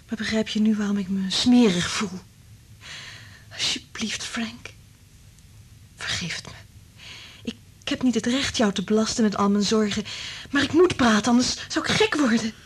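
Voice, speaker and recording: An actress playing an anguished, bitter married woman in a radio drama, intense and confiding, with a breathy, husky voice that drops to whispers. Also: mid-range voice, moderate pace, long pauses. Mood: distressed, pleading.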